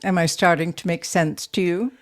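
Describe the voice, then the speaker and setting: An actress playing a composed, guarded young widow who runs a steel mill, speaking in a measured, matter-of-fact way in an audio drama. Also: female voice, on the low side, steady tone, close to an everyday voice. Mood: probing, calm.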